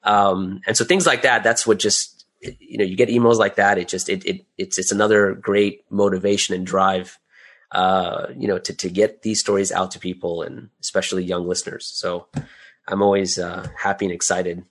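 Male interview guest, lower-pitched voice, conversational and chatty delivery, peppered with small check-in tags. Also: flat delivery, normal speed, fairly bright tone. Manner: chatty, often adds "right?" and "you know". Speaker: interview guest, audio drama creator.

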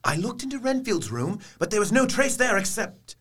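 An actor playing a grave, earnest young gentleman giving a report in a theatrical audio drama. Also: high voice, big pitch swings, normal speed, slightly rough, heavily acted. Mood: urgent, shaken.

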